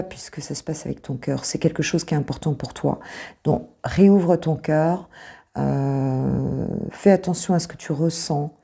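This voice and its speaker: A French woman with a low, dark, husky voice reads cards for her viewers, sounding a little hoarse. She talks in a loose, hesitant way, full of fillers and soft asides. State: slightly hoarse. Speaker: intuitive coach reading cards. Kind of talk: casual card reading to viewers.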